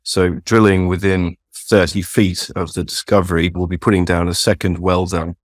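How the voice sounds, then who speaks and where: A low-pitched man with a non-North American English accent talks through his company's plans, explanatory and measured, pausing on fillers. The sound is dry and close, with little room tone. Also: level tone, no echo. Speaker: company CEO guest. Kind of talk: business interview answers. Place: home, dog barking at times.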